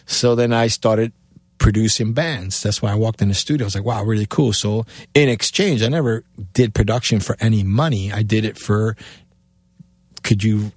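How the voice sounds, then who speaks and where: A man's voice: lowish voice, normal speed, a little mellow, no echo. A middle-aged man from Cuba with a Cuban and New York accent, a guest telling long rambling stories in a casual interview. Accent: Cuban Spanish with New York English. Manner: rambling storyteller, many "you know" asides. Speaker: interview guest, musician and author.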